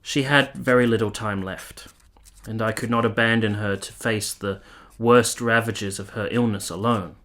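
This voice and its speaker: Low-voiced, solemn soldier. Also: male voice, fairly even intonation, fairly bright tone. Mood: solemn, resolute. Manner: formal, literary, measured, solemn delivery. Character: earnest, tormented male soldier in 1870s Italy, narrating his past. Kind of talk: dramatic radio play narration and dialogue.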